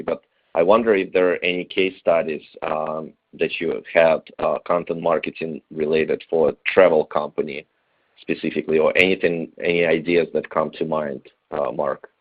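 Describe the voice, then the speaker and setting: Deep-voiced male host on a phone-like line. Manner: halting, feeling his way through questions. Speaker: webinar host. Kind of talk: interview questioning, unscripted. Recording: thin phone-like webinar line.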